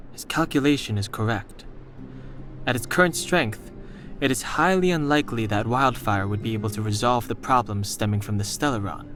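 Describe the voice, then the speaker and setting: A man playing a composed, analytical young man in a video game, measured and dry in delivery. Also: mid-range voice, fairly bright tone, close to an everyday voice. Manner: measured, matter-of-fact, dry.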